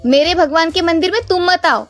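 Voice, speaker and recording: Scolding village mother-in-law played by a woman for cartoon, high voice, domineering, over music. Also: brisk. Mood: angry, bossy. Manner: bossy, taunting. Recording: music behind the voice.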